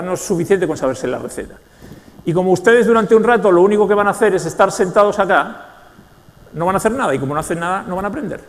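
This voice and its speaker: A man's voice: high-pitched, big-room sound. A man from the Basque Country with a Spanish accent, giving a joking, ironic keynote talk to a business audience. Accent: Spanish, from Spain. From Spain, Basque Country. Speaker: conference speaker. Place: large event hall.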